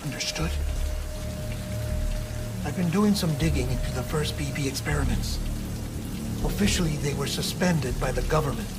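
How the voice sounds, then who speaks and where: Guy acting a brisk clinical doctor dude in a game cutscene, slightly high voice, precise and pushy, music behind him. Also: natural voice. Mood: secretive, urgent. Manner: explanatory, insistent, precise. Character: brisk, clinical male doctor confiding in a courier.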